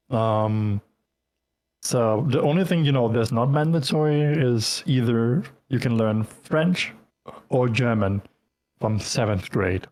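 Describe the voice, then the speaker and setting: A man with a Danish accent chats as a guest in a casual interview. His talk wanders and is full of filler words, with a voice at an ordinary middle pitch. The sound is dry, with no room echo. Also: darkish tone. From Denmark. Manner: rambling, heavy with fillers. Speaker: guest streamer.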